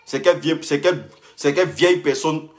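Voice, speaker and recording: A man's voice: a little high, fairly quick. A young man, ranting heatedly on a live stream and repeating himself as he answers a rival. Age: young adult. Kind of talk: casual live-stream rant.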